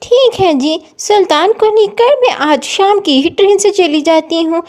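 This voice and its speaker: महिला की निभाई ऊँची आवाज़ वाली ममतामयी गाँव की माँ. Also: female voice, extremely high pitch, a little slow, a little mellow, voice pushed up. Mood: obliging. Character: caring, dutiful village wife and mother. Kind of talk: Hindi moral story cartoon dialogue.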